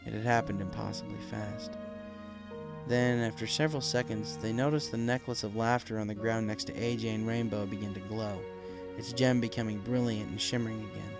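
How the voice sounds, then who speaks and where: A man's voice: lowish voice, fairly even intonation, fairly quick, gravelly, music in the background. A man reading a fan fiction story aloud as narrator, in a scripted, dramatic storytelling style.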